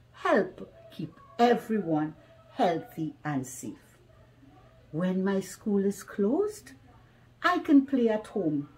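A middle-aged woman reading a children's story aloud in a deliberate, expressive way, slightly muffled by a face mask. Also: medium pitch. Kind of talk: children's picture book read-aloud. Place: small living room at home, indoors.

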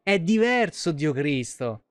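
A man's voice: on the high side, big pitch swings, slow pace, no room sound. A man in his thirties giving casual, chatty live gameplay commentary in an informal way. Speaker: game streamer. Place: small indoor room.

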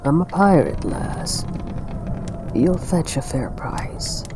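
A man's voice: a little high, animated, takes it slowly, music in the background. A man playing a commanding, roguish yet protective pirate captain with nautical slang in an audio roleplay. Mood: calm, guarded. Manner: authoritative, uses nautical slang. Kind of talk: dramatic audio roleplay acting. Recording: clear background ambience noise.

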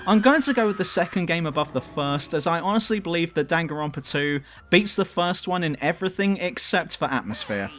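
A man's voice: fairly high pitch, expressive, fairly quick, hardly any pauses, a bit bright, faint music behind. A man reading scripted review narration as a video reviewer.

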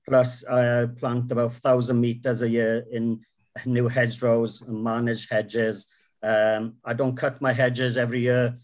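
A middle-aged Welsh man with a Welsh accent, a farmer giving a plain-spoken, earnest talk from notes over a video call, with frequent ums. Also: medium pitch. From Wales. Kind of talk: prepared talk read from notes. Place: home room with a window. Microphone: video call webcam.

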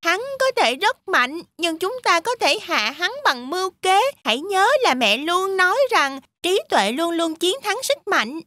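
A woman's voice: very high-pitched, sing-song, unhurried, hardly any pauses, pushed and bright, dry recording, clean studio sound, exaggerated voice. A woman voicing a brave, earnest young goat kid in a children's cartoon. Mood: determined. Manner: earnest, urgent.

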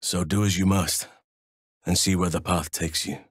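Casting: a wise, big-hearted game druid; low register, measured archaic delivery, close dry recording. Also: male voice, fairly even intonation, breathy edge, dry recording. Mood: solemn, measured. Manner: measured, formal, archaic phrasing. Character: wise, solemn male druid healer, large and gentle-hearted. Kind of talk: video game voice acting.

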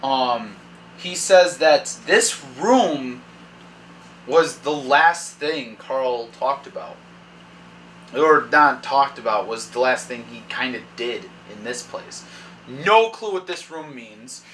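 A young man running a tabletop game from a dim home kitchen, picked up by a camera across the table. His voice sits at an ordinary middle pitch, with a faint room hiss behind it. He reads room descriptions in a halting way and pauses often to think aloud. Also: animated, fairly bright tone, poor audio. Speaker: dungeon master. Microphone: far camera.

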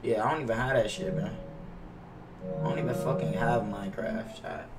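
A man's voice: on the low side, moderate pace, over loud music. A young American man chatting casually to livestream viewers as a game streamer, slangy and profane, often repeating himself. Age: young adult. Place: indoor room at a gaming setup. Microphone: gaming headset mic. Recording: roomy, distant headset mic with noise.

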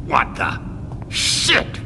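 A man's voice: drawn-out words, in a large hall, noisy background. A man playing a brusque, urgent vampire henchman in a dramatic video game. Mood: alarmed.

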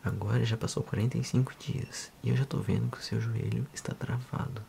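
A man in his thirties with a Brazilian accent, speaking softly and unhurriedly in an ASMR role-play as a physiotherapist addressing the listener. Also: medium pitch, steady tone, creaky, slight background noise. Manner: soft, unhurried, hushed.

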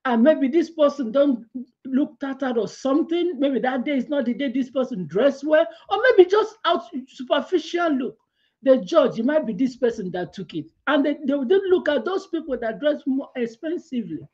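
A middle-aged woman giving a devotional sermon from a small room at home. She tells her stories with lively hand gestures and laughs along the way. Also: high-pitched, normal speed, lo-fi sound. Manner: animated storytelling with hand gestures, laughs through her anecdotes. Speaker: preacher.

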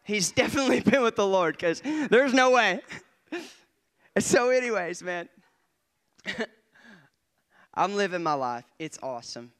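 A young man, a guest preacher, giving an excitable testimony in church on a handheld mic, often laughing and swinging between quiet asides and loud bursts. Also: high voice, slow pace, long pauses, smooth. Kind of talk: testimony sermon. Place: church stage before a congregation. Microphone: handheld microphone.

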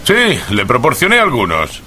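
An actor playing a blunt, stubborn, irritable poultry stallholder in a period mystery drama, gruff and combative in manner. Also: male voice, medium pitch, huge pitch swings, normal speed, pushed and bright, a little hiss or hum. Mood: curt.

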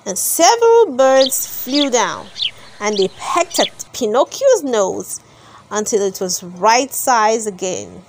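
Female storybook narrator, high voice, unhurried and expressive, reading aloud for children. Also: a little hiss or hum, poor audio. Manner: expressive, dramatic delivery with emphasized words.

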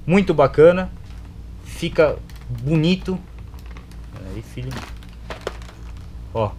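A man's voice: fairly high pitch, lively intonation, slow pace, darkish tone. Young Brazilian man, noisy shop recording. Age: young adult. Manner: informal, slangy, short clipped phrases. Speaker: product reviewer. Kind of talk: casual product review to camera. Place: indoors in a motorcycle gear shop. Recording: clear background noise, shop ambience.

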